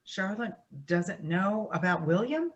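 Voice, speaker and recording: A woman playing a conciliatory, gently meddling family peacemaker in a staged play reading, placating and tentative. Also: mid-range voice, lively intonation, takes it slowly, lo-fi sound. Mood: placating, concerned.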